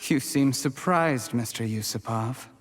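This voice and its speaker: A man playing an ambitious, resentful schemer with a servile front in a video game, speaking in a measured, formal way. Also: mid-range voice, in a large hall, faint music behind, close to an everyday voice. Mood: cold, bitter.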